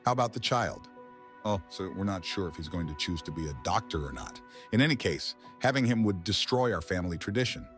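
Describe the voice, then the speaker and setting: A man's voice: deep voice, animated, brisk, fairly bright tone, faint music behind. A man voicing a stern family elder who disapproves of the aunt, in an anime-style dub. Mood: disapproving.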